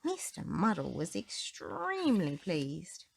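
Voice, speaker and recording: A woman narrating a children's storybook aloud in an expressive style. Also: lowish voice, slow pace, gravelly, slightly rough.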